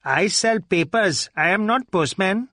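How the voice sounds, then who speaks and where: A grudging, suspicious street newspaper vendor acted by a male narrator in a detective audiobook. He speaks in short, broken sentences at a raised pitch. The sound is dry and close. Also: animated, unhurried, pushed and bright, no echo, full character voice. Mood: suspicious, defensive. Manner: terse, clipped broken English.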